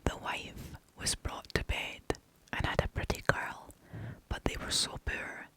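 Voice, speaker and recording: A storyteller reading folk tales in a breathy, unvoiced ASMR whisper, gently and expressively. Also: measured pace. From United Kingdom.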